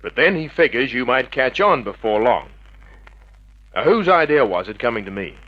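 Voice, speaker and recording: An actor playing a wisecracking, cynical private detective with an American accent, dry and sardonic in a 1950s radio drama manner. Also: male voice, medium pitch, very animated, normal speed. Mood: shrewd. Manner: dry, sardonic, hard-boiled. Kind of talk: 1950s hard-boiled radio drama acting and narration. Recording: muffled vintage radio recording.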